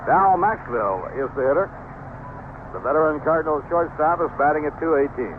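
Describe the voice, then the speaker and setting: Male American baseball play-by-play announcer, slightly high voice, narrow noisy vintage radio broadcast. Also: lively intonation, a little fast. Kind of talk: live radio sports commentary. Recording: noisy, band-limited old radio broadcast.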